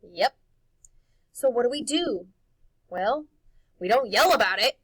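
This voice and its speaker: A woman's voice: a little high, animated, measured pace, husky, dry recording, poor audio. A woman voicing a bold, curious young boy in a storybook, with insistent, challenging questions. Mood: puzzled, determined.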